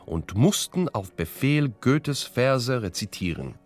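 A man narrates a radio feature from a script, in the first person. His medium-pitched voice moves in lively swings over background music. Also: slow pace. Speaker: narrator and interviewer. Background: music under the voice.

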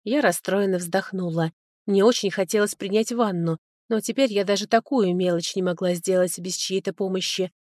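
A woman's voice: medium pitch, normal speed, darkish tone, dry recording. Woman narrating fiction, close dry sound. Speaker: audiobook narrator. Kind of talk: scripted first-person fiction narration with acted dialogue.

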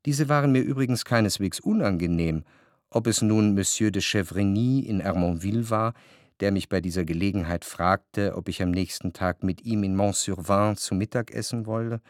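A man narrating a literary radio drama as a reflective gentleman recalling his past, in a measured, articulate way. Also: on the low side, dry recording, clean studio sound, natural voice. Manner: measured, articulate reading of long sentences.

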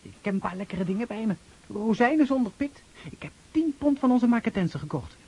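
Excitable, boyish young officer, lively pitch. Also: male voice, a little fast, husky, exaggerated voice. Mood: pleading, eager. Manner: stammering, breathless eagerness. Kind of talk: Dutch radio drama acting. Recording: noisy old radio play recording.

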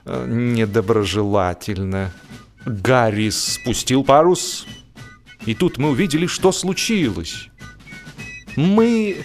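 A man narrating a humorous literary story in a theatrical, mock-lofty manner, with expressive phrasing and deliberate pauses. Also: on the high side. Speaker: audiobook narrator. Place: studio. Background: music.

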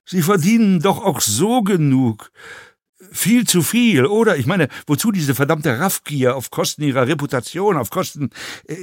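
A man's voice: on the high side, animated, normal speed, darkish tone, no room sound, clean studio sound, pitched above the performer's own voice. A man voicing an intrusive, chatty male passenger in a story, rambling with hesitations and needling insults.

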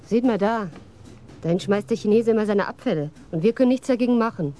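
An actress playing a brisk, plain-spoken police officer in a radio crime drama. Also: female voice, medium pitch, dark tone, a little hiss or hum, natural voice. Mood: disgusted.

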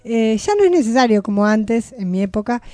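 A woman's voice: fairly high pitch, lively intonation, brisk, warm tone, no room sound. A woman with a Rioplatense Argentine accent, co-hosting a casual radio conversation. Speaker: radio show co-host. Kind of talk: casual group chat. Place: close dry radio studio.

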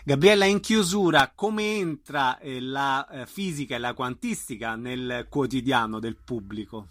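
A man asking interview questions in a measured, somewhat hesitant way. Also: medium pitch, fairly bright tone, no echo, clean studio sound. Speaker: radio interviewer.

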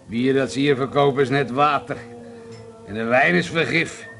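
An actor playing a crude, boozy, domineering older man in a Dutch radio play, gruff and mocking, given to swearing bluster. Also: medium pitch, moderate pace, a bit bright, soft background music, lightly acted. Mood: sneering bluster.

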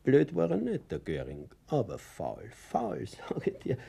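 Boastful old bavarian fella in a radio play, husky, rambling, super animated pitch. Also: male voice, measured pace, full character voice. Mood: fond, amused. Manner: rambling, chuckling, confiding storyteller. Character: chatty, naive older man boasting to a child.

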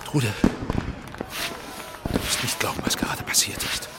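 An actor playing a proud, troubled middle-aged film director in a German radio drama, hesitant and intense, often dropping to a murmur. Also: male voice, measured pace, very noisy, lightly acted. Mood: guarded, intimate.

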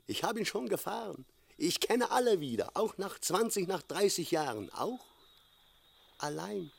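An actor playing an old, deferential coachman in a German radio drama, with a gravelly, husky voice. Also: sits high, big pitch swings, a little slow, full character voice. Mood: reminiscing.

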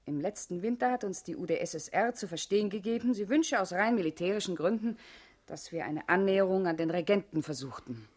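An actress playing a guarded, urgent female party comrade in a German radio play, speaking gravely and deliberately with a husky, intimate voice. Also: mid-range voice, lively intonation, darkish tone, natural voice. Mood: tense, explaining.

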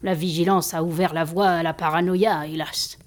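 A woman playing a kindly old master and healer in an audio drama, attentive and gently authoritative. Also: lowish voice, fairly even intonation, normal speed, natural voice. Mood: grave, concerned.

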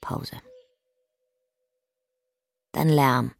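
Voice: mid-range voice, steady tone, drawn-out words, vocal fry, no room sound. A narrator reading clipped, scripted stage directions in a drama production.

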